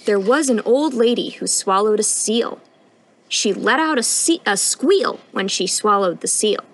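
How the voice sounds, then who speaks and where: Young female storytime reader, lively pitch swings, sing-song rhythm, outdoor recording with audible ambient noise. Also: unhurried, poor audio. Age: young adult. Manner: expressive, sing-song rhyming delivery with emphatic punchlines. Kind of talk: read-aloud children's picture book storytime. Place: outdoors on a wooden porch.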